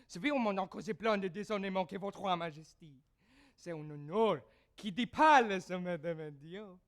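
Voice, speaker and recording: An actor on a theatre stage playing a young, boastful, childishly cheeky male composer in an excitable, stammering, giggly manner. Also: sits high, moderate pace. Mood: fawning.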